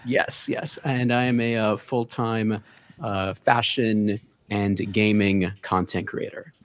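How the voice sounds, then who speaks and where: A man. He talks in a loose, easygoing way as a podcast guest and pauses on plenty of fillers as he tells his story. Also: fairly low pitch, a little hiss or hum. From the Bronx, New York. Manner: relaxed, storytelling with many filler words. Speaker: guest, content creator. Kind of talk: casual podcast interview.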